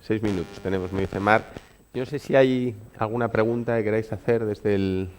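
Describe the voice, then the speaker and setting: A man moderating a conference panel, handing the floor over with brisk, short prompts. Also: on the low side, steady tone, a little hiss or hum. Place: conference venue.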